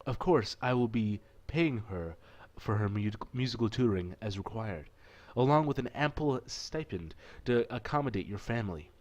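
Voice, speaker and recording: Man playing a courtly, self-assured rich gentleman. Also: fairly low pitch, lively intonation, moderate pace, hardly any pauses, dull audio, natural voice. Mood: assured, generous. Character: rich, suave young gentleman patron, generous and teasing. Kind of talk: visual novel character voicing.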